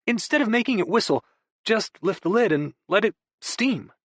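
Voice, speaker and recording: A man's voice: high-pitched, lively intonation, normal speed, no echo, clean studio sound, voice pushed up. A man doing a whiny, dramatic brother. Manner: whiny, theatrical.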